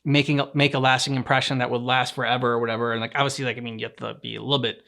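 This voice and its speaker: A man co-hosting a game reaction show, chatting casually in a halting, loosely worded way as he thinks aloud. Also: medium pitch, level tone, gravelly, sharp tone. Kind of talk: casual chat.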